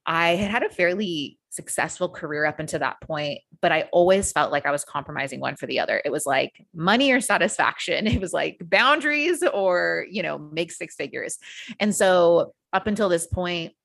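An American woman who works as a coach, telling her story as a podcast guest in a casual, energetic way with frequent fillers and the odd swear word. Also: on the low side, normal speed, creaky, no room sound. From United States.

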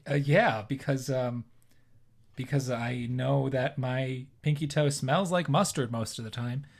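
A man's voice: medium pitch, unhurried. Hesitant male podcast co-host. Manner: hesitant, self-deprecating. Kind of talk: casual improv chat.

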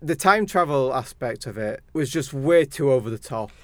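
A man's voice: medium pitch, expressive, normal speed, no echo. A man co-hosting a podcast, casually reading out news items and chatting about them. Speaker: podcast co-host. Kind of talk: casual news read-out and chat.

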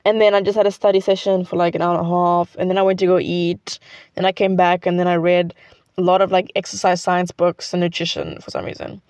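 A woman's voice: on the low side, level tone, fairly quick, darkish tone, no echo, highs cut off. A teenage girl from South Africa with a South African accent, Xhosa her first language, chatting casually in a rambling vlog full of "like". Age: teens. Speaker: student vlogger.

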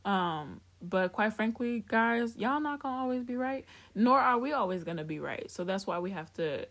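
A woman's voice: medium pitch, lively intonation, moderate pace, a bit bright. A woman from Africa co-hosting a podcast, chatting candidly, laughing often and drifting off topic. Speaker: podcast co-host. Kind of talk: casual chat.

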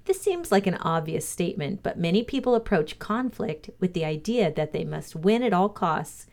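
A woman reading a motivational lesson aloud as a co-host, in a measured, instructive way. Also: lowish voice, lively intonation, vocal fry, darkish tone.

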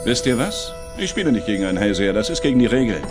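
An actor in a German-dubbed TV comedy playing a wary, stubborn poker player, curt and reluctant. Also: male voice, on the low side, very animated, very fast. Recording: music behind the voice.

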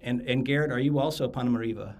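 A man's voice: mid-range voice, fast talker. A man hosting a podcast, chatting casually with guests and often repeating words as he restarts. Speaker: podcast host.

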